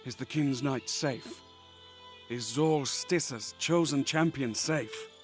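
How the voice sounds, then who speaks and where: A man's voice: mid-range voice, slow, soft background music, natural voice. A man playing a devout, courteous worshipper in a fantasy game, speaking earnestly and formally. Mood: anxious, grateful. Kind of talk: fantasy game character acting.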